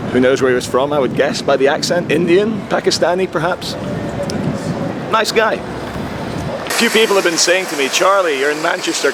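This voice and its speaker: A hoarse man with a cold talks into his camera as he walks a city street, trading in wry, sarcastic asides. His voice sits at an ordinary male pitch, and steady traffic noise hums behind him in the outdoor recording. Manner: wry, sarcastic running commentary. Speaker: vlogger. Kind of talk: casual walking commentary.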